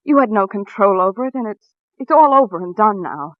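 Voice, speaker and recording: A woman's voice: mid-range voice, lively intonation, a little fast, no echo, natural voice. A woman playing an anxious, devoted wife in a 1940s radio suspense drama, speaking tenderly and earnestly. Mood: tender worry. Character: anxious, devoted wife telling her own suspense tale. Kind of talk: 1940s radio drama acting and first-person narration. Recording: dull vintage radio recording, music behind.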